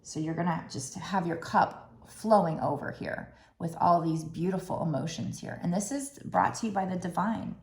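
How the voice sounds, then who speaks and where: A woman doing a tarot card reading, talking gently and musingly with restarts, her throat a little raspy. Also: lowish voice, normal speed, few pauses, reverberant. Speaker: tarot reader.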